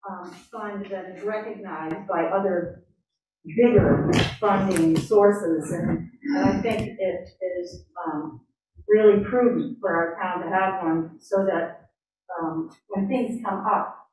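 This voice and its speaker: A woman's voice: medium pitch, slow, darkish tone, slight background noise. A woman giving a public comment at a town meeting, reading prepared remarks with some hesitations. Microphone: standing at a floor mic in a large room. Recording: echoey room, voice from far off.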